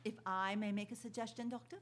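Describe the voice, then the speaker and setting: A woman's voice: medium pitch, steady tone, quick pace, close to an everyday voice. A woman playing a helpful, chatty London housekeeper in a radio drama, polite and deferential. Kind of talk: theatrical radio drama acting.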